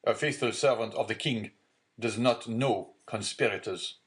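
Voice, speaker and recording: A man's voice: lowish voice, measured pace, a bit bright. A man voicing a stern, anxious male deputy prosecutor in an audiobook, formal and commanding, with a guarded manner. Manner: authoritative, formal, clipped commands. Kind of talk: dramatic audiobook character dialogue.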